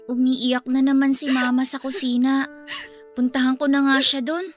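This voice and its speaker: A woman's voice: high voice, little pitch movement, moderate pace, soft background music, close to an everyday voice. A woman playing a polite, earnest young eldest daughter of about ten in a radio drama, anxious to please. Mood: eager, concerned.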